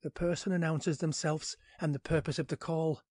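A man's voice: on the high side, steady tone, normal speed, darkish tone, no room sound, close to an everyday voice. An actor playing an irritable, put-upon male tenant who tells his story in a sardonic, grumbling, slangy audio drama monologue. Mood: tired, uneasy.

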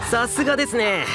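Courteous, high-pitched innkeeper. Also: male voice, full character voice. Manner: polite, encouraging, attentive. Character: courteous, helpful male innkeeper in a fantasy game. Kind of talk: Japanese anime game voice acting. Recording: music behind the voice.

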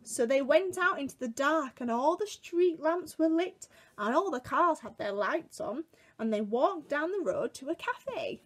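A British woman in her twenties telling a picture-book story to young children in an expressive, exaggerated way, recorded indoors at home. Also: sits high, measured pace. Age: 20s. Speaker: children's museum worker reading a story to children. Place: small room at home, indoors.

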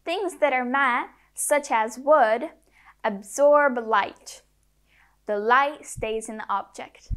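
High, very slow young female presenter. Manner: measured, word-by-word delivery for learners. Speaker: presenter of a children's science lesson. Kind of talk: scripted educational narration in simple English.

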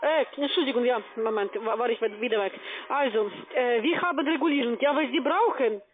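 High-pitched foreign-accented saleswoman on the phone. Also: fairly quick. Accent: foreign accent in German. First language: not German. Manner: pushy, repeatedly tagging phrases with "ja". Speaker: call-center sales agent. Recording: tinny narrowband phone line.

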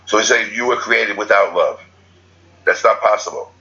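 A man's voice: fairly low pitch, moderate pace, pushed and bright, lo-fi sound. A man giving an unscripted spiritual talk as a teacher, rambling and correcting himself as he goes. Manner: rambling, restarts and self-corrections.

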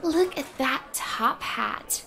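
A woman's voice: sits high, lively intonation, slow pace, gravelly, loud music behind the voice, full character voice. A woman voicing a dreamy, obsessive teenage girl animatronic chicken in a video game cutscene. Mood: smitten.

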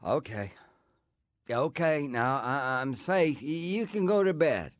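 A man's voice: fairly high pitch, expressive, takes it slowly, dry recording, clean studio sound. A man playing a cocky young criminal in a radio drama, speaking in a brash, slangy way. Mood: evasive, defensive. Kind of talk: old-time radio drama acting.

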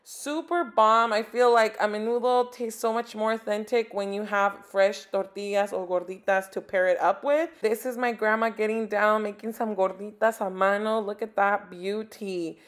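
A woman's voice: mid-range voice, steady tone, moderate pace, hardly any pauses. A young woman hosting a home cooking channel, narrating a recipe in a chatty, upbeat voice-over and dropping in Spanish food words.

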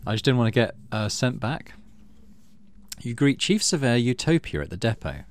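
A middle-aged man hosting a let's play, reading game text aloud and thinking out loud as he plays. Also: medium pitch, sing-song, normal speed, no room sound. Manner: thinks aloud while playing.

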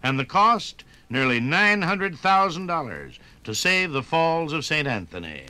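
A man narrating a scripted 1950s radio documentary in an emphatic, measured and dramatic announcer's style, heard on an old broadcast record. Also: on the high side, big pitch swings, bright, forceful tone, clear, resonant tone.

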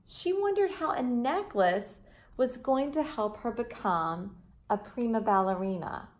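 A woman's voice: on the high side, lively intonation, measured pace. A woman in her thirties reading a children's storybook expressively, with teacherly asides, recorded indoors at home. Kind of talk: expressive picture-book read-aloud. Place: front room of a house, indoors.

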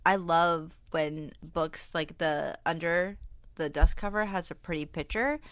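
A chatty young woman with a stuffy nose from a cold, co-hosting a podcast in a fairly low voice. She talks fast with quick asides. The sound is dry and close to the mic. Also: a bit bright, no room sound. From Vermont. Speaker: podcast co-host. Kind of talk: casual chat.

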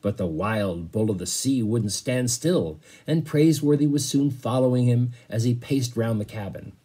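A man in his fifties reading a story aloud, pausing for casual explanations. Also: medium pitch, expressive, mellow, breathy edge. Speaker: teacher reading to a class. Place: small bedroom, indoors.

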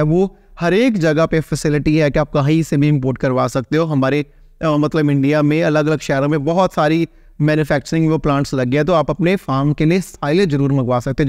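Man explaining farm topics, higher voice. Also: a little mellow, no room sound, studio quality. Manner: conversational, keeps adding a checking "right?". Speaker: veterinarian answering viewer questions. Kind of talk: casual explainer to camera. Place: small plain indoor room, seated.

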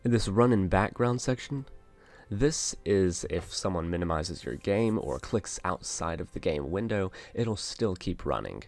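A man narrating a casual software tutorial, explaining things step by step as an instructor. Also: deep voice, lively intonation, unhurried, soft background music.

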